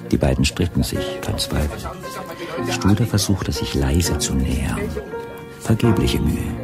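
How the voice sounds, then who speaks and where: An actor playing a sober narrator of a radio crime story, measured and matter-of-fact. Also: male voice, a bass voice, lively intonation, over loud music, heavily acted.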